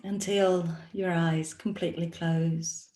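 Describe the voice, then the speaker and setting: A woman leading a guided meditation as a yoga nidra teacher, speaking in a soothing, instructional manner with long pauses. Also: fairly low pitch, steady tone, dark tone. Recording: roomy, echoey sound.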